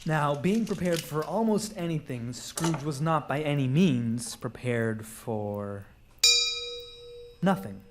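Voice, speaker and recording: A man's voice: fairly high pitch, lively intonation, slow, background noise. A man telling a story as a scripted narrator in a live radio drama.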